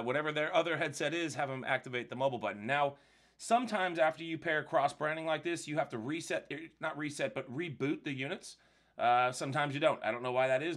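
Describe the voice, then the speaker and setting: A man's voice: fairly high pitch, a bit bright. A man presenting a casual step-by-step product demonstration in a conversational, explanatory way.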